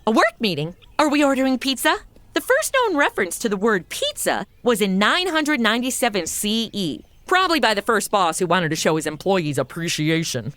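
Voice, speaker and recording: A woman's voice: medium pitch, very animated, brisk, a bit bright, dry recording. An actress playing a flippant, chatty modern young woman tossing snarky, casual asides into a comedy audio drama.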